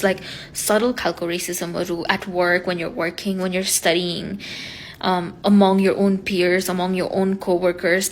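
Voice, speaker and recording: A woman's voice: mid-range voice, slight background noise. A young woman from Nepal with a Nepali accent, her first language Nepali, talking earnestly in a casual vlog and mixing English with Nepali. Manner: rapid, earnest, frequent "like" fillers.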